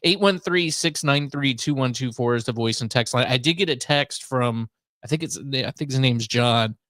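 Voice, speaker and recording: A man hosting a casual talk radio show, chatting in an easygoing, wry, measured way. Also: mid-range voice, a bit bright, no room sound, studio quality.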